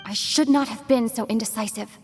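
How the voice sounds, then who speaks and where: A woman playing a resolute, regal young commander who speaks formally and gravely in a dramatic video game. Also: fairly high pitch, fairly quick, lightly acted. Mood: solemn resolve. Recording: long reverb, music behind.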